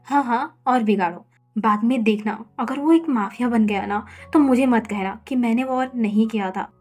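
A woman's voice: on the high side, normal speed, a little mellow, husky, soft background music. A woman voicing a hot-tempered young husband and mother who scolds in a raised voice. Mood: scolding.

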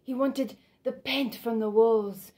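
A woman's voice: a little high, fairly even intonation, slow, warm tone, pitched above the performer's own voice. Woman acting a frank, sensual young woman. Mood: frank, intense. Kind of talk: theatrical stage monologue.

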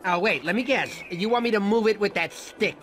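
A man's voice: high-pitched, a little fast, lightly acted. A man voicing a goofy, eager, put-upon male ground sloth in a video game cutscene. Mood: reluctant, sarcastic. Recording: music behind the voice.

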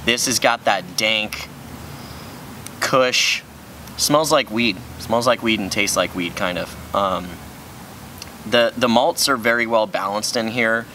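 A young man with a bright, mid-pitched voice reviews beers on an outdoor patio. He states his opinions plainly and without fuss. A faint hum of outdoor noise carries under him. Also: slow pace, pauses often. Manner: frank, matter-of-fact opinions. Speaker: beer review co-host. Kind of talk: casual beer tasting review.

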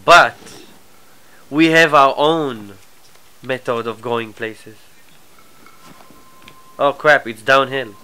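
A man with a cold and a stuffy nose, chatting casually through a live game stream, his asides often trailing off quietly. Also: medium pitch, drawn-out words, pauses often, clear, resonant tone, low-quality recording. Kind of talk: live gameplay commentary.

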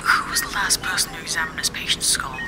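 An actress playing a brusque, exasperated military surgeon in a sci-fi audio drama, speaking in a curt, clinical way. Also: female voice, deep voice, fast, lightly acted, low-quality recording. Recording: loud music and effects behind.

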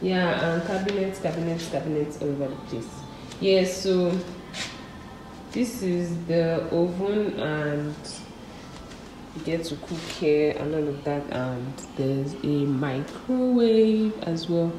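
A young woman chats her way through an empty apartment. Her voice sits low. She is recorded on a handheld phone in bare rooms that ring with echo, with steady noise behind her. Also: slow, distant mic. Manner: chatty, talks while walking through rooms. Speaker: vlogger. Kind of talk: casual vlog narration. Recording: echoey bare room with background noise.

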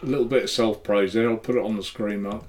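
A man's voice: fairly low pitch, steady tone, quick pace, darkish tone. A man in his sixties from Norfolk with a Norwich accent, co-hosting a casual live stream and reading viewer comments with hesitant fillers and frequent chuckles. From Norfolk, England. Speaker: co-host of a vaping live show. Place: home room, indoors.

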